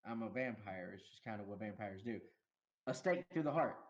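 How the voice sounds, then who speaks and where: Co-host, male, lively pitch, chatty movie rundown, slightly dull audio. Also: brisk. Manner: animated, laughs often, acts out quotes. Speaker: podcast co-host. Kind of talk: casual movie review chat.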